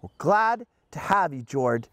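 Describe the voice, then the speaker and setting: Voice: mid-range voice, very animated, measured pace, a bit bright, no echo. Kindly schoolteacher man from a storybook, read by a narrator. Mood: friendly, cautioning. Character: kindly male schoolteacher explaining patiently to his class. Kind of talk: storybook character voice.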